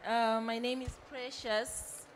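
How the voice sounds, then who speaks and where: A young woman from Zimbabwe gives an earnest conference talk that builds in emphasis. Her voice sits a little high. Faint background noise runs beneath her. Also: slow. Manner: earnest, grows more animated and emphatic. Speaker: panel speaker.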